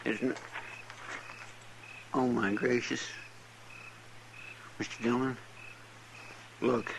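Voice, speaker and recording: Deputy sidekick: creaky rural Western drawl, plain-spoken, eager to please; noisy vintage radio drama. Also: male voice, medium pitch, level tone, extremely slow. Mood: hushed, sorrowful. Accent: American rural Western drawl. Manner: plain-spoken, folksy, deferential. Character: folksy, deferential deputy, simple and eager. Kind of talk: old-time radio western acting.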